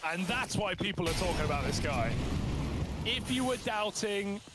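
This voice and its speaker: A man casting an esports match with brisk tactical play-by-play and sudden exclamations. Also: sits high, lively intonation, heavy background noise. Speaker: esports caster. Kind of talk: play-by-play commentary. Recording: broadcast feed with loud game gunfire behind.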